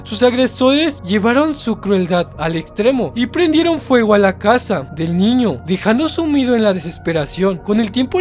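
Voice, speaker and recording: A man narrating a scripted horror story as its storyteller, in a slightly lo-fi recording. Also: sits high. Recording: slightly dull, lo-fi sound. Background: background music.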